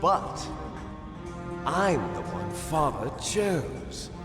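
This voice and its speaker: Theatrical villain, wildly expressive. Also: male voice, mid-range voice, extremely slow, exaggerated voice. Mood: bitter. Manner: theatrical, mocking, grandiose declarations. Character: bitter villainous twin brother, grandiose, vengeful megalomaniac. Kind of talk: dramatic video game voice acting. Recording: echoey reverberant hall under game music.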